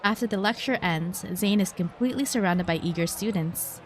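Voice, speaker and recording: A woman's voice: medium pitch, a little fast, a little hiss or hum. A woman streamer playing a story game, reading its text aloud and adding casual commentary. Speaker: streamer, VTuber. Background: game music.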